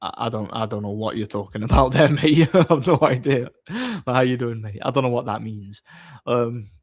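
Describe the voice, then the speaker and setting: A man hosting a livestream, chatting casually and off the cuff in a blunt, opinionated, somewhat halting way. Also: mid-range voice, lively intonation. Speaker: livestream host. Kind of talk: casual off-the-cuff chat, answering viewer comments.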